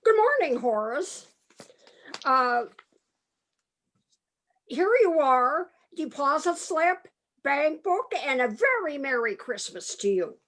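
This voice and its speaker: Woman acting as scatterbrained old uncle, radio play, high voice, slow delivery, theatrical. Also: very animated, fairly bright tone, full character voice. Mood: cheerfully gloating. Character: scatterbrained, excitable older Uncle Billy, male bank depositor. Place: home, over video call.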